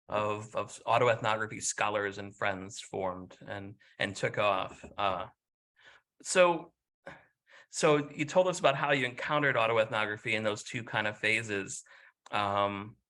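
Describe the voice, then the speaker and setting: A man with a bright, forceful voice pitched somewhat low interviews a colleague. He asks questions conversationally and reacts with warm, enthusiastic asides. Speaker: interviewer, professor.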